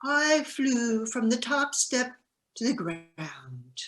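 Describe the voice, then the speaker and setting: Woman as nervous baby seagull in a play, wide-eyed n earnest, slow talker but super swoopy. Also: on the high side, very animated, a bit bright, exaggerated voice. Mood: defensive. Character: naive, nervous young fledgling seagull learning to fly. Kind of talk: amateur virtual one-act play acting.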